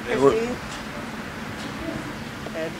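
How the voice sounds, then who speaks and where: A woman's voice: low voice, big pitch swings, drawn-out words, a little mellow, poor audio. A woman in a group discussion explaining and clarifying what others mean.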